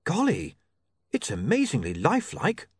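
A proper, prim young woman with neat manners, as a male reader puts her on. Her voice is lifted well above a man's usual register and moves in lively steps. The sound is dry and close. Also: on the high side, moderate pace, breathy edge, no echo, pitched above the performer's own voice, heavily acted.

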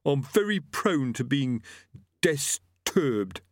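A man's voice: mid-range voice, sing-song, slow pace, dry recording, pitched above the performer's own voice. A man voicing the ghost of a thuggish male butcher who sounds aggrieved and self-pitying and stumbles over long words.

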